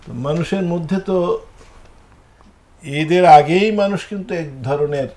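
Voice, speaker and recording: A man's voice: fairly high pitch, a little slow, darkish tone. A man from Gazipur with a Bangladeshi accent, talking conversationally as a guest on a talk show. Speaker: guest singer.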